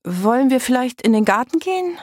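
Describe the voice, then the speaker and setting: A woman's voice: on the high side, big pitch swings, a little fast, darkish tone, no room sound, studio quality, pitched above the performer's own voice. A woman narrator voicing a polite, eager young vampire girl who speaks a little hesitantly in a children's story. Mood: friendly.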